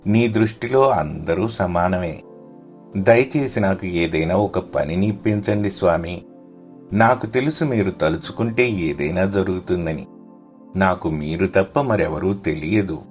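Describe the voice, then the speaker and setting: A man's voice: low voice, measured pace, darkish tone, soft background music. A man voicing a poor, devout villager in a moral story, earnest and humble. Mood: pleading. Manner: earnest, pleading.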